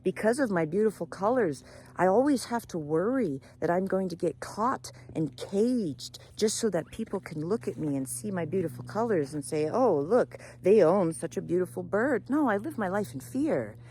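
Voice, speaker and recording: A woman's voice: lowish voice, big pitch swings, moderate pace, vocal fry, a little hiss or hum, voice pushed up, low-quality recording. A woman voicing an anxious, discontented parrot in a story, speaking in an earnest, fretful way.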